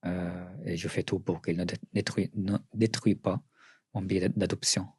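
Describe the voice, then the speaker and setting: A middle-aged man from Syria with a Syrian accent, answering interview questions earnestly, often pausing and restarting. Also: deep voice, level tone, a little mellow, breathy edge, no echo, studio quality. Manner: earnest, with frequent hesitations and restarts. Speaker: writer and journalist, interview guest.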